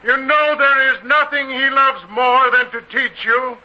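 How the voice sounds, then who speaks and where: An actor playing a devoted, pleading disciple calling out deliberately to a multitude in an old-time radio drama. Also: male voice, very high voice, muffled recording. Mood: pleading, urgent.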